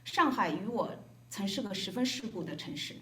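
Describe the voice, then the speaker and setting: A woman's voice: medium pitch, expressive, fairly quick, sharp tone. A woman from Shanghai, a volunteer reading a prepared, reflective speech at a gathering. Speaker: volunteer speaker. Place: hotel event room. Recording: echoey event room.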